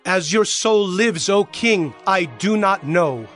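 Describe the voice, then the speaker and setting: A man's voice: sits high, expressive, slow pace, fairly bright tone, faint music behind, heavily acted. A man playing a humble, deferential subject of an ancient king in a dramatized Bible story, formal and earnest. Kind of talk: dramatized scripture acting.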